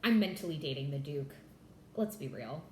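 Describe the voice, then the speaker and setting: A woman's voice: sits low, animated, normal speed, dark tone, echoey room, slight background noise. A young adult woman from California, chatting casually to camera as the host of a stitching vlog, bubbly and full of fillers like "like" and "y'all". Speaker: Flosstube host.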